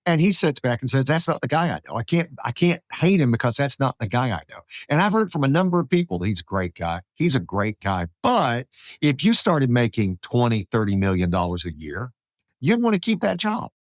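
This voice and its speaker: An American man hosting a casual conversational podcast, animated and repeating phrases for emphasis. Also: medium pitch, brisk, no echo. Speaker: podcast host.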